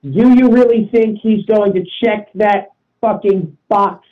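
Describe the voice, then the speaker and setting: Male discussion guest, slightly high pitch, opinionated and profane, insistent delivery, muffled low-fidelity recording. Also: a little slow. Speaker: talk show participant.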